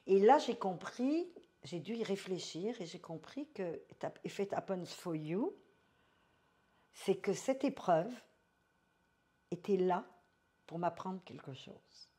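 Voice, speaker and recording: A woman in her seventies from France, telling her life story as an interview guest, slow and thoughtful, slipping in English phrases. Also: lowish voice, expressive, mellow.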